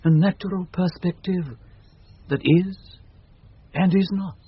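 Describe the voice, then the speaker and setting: A man's voice: high-pitched, a little slow, breathy edge. An actor playing an awestruck nobleman in a 1970s radio Shakespeare play, declaiming in marvelling phrases. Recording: muffled old radio broadcast recording.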